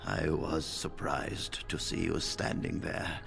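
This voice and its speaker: An actor playing a weary, grave old mage leader with a gravelly voice in a fantasy video game, speaking deliberately with a wry edge. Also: male voice, very deep voice, level tone, a bit bright, soft background music, heavily acted. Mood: grateful, solemn. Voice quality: gravelly, creaky.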